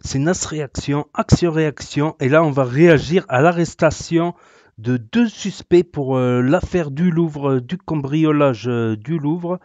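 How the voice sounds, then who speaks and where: A man's voice: fairly high pitch, unhurried, darkish tone, no room sound, muffled recording. A man hosting a reaction video, sarcastic and rambling, with many hesitations. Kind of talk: casual reaction commentary.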